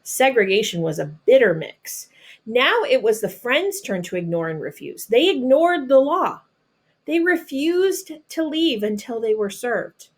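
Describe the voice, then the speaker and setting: A woman's voice: fairly high pitch, sing-song, measured pace. American woman reading aloud to children. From North Carolina, United States. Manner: explains simply and patiently to children. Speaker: teacher reading a picture book aloud. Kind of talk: children's read-aloud with explanatory asides.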